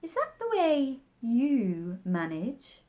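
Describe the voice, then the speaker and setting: A woman's voice: on the high side, huge pitch swings, slow pace, mellow, pitched above the performer's own voice, exaggerated voice. A woman voicing a curious, polite young girl in a children's storybook, prim and questioning. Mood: thoughtful.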